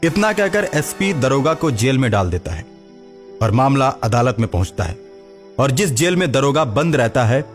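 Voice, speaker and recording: A man's voice: mid-range voice, big pitch swings, moderate pace. A man narrating a scripted cartoon story as the storyteller. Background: background music.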